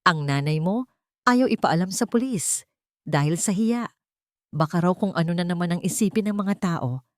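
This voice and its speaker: A woman's voice: lowish voice, expressive, normal speed, a little mellow, no room sound, lightly acted. An anxious middle-aged aunt played by a woman narrator pleads with urgency over the phone in an audio drama. The sound is dry and close, with worry running through her words. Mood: tearful, anxious. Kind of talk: dramatic audio story dialogue.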